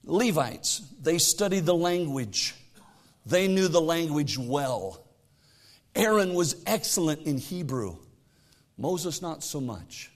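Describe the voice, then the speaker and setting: A middle-aged man preaching a sermon as pastor, swinging between loud exhortation and quiet asides, reading scripture aloud in a raised voice. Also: fairly high pitch.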